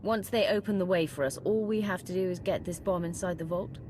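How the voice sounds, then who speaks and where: A woman's voice: fairly low pitch, fairly even intonation, fairly quick, darkish tone, a little hiss or hum, natural voice. A woman playing a terse, guarded female heist partner in a video game cutscene.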